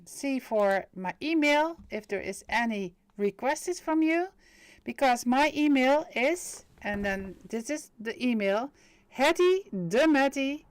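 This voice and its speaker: A woman's voice: on the high side, smooth, no echo. A middle-aged woman with a Dutch accent, whose first language is Dutch, hosting a casual live stream as a medium and talking hesitantly with many fillers and restarts. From Netherlands.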